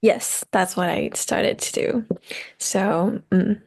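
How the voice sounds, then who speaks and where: A young woman from Taiwan who speaks natively, answering interview questions in simple, halting English. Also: mid-range voice, steady tone, creaky, darkish tone. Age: young adult. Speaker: guest musician.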